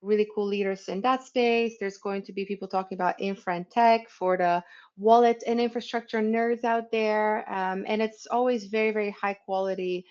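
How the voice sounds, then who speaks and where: A woman's voice: mid-range voice, steady tone, moderate pace, a little mellow. A woman in her thirties chatting casually as a livestream guest, upbeat and promotional, over a video call. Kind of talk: casual chat. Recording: slightly dull lo-fi audio.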